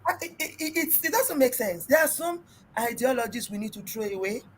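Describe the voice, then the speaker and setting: Husky loud-voiced Nigerian woman. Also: a little high, lively intonation, a little fast. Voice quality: big loud voice. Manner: emphatic, storytelling, mixes in pidgin. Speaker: relationship talk host. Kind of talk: casual group discussion.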